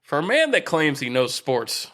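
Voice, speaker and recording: A man's voice: on the high side, huge pitch swings, normal speed, pushed and bright. An American man co-hosting a sports podcast, chatting casually with a teasing, emphatic manner.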